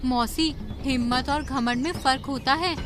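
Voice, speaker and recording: Cartoon songbird, female actor, small and sensible; high voice, questioning, concerned delivery for a children's animal tale. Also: unhurried, music in the background. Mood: curious, concerned.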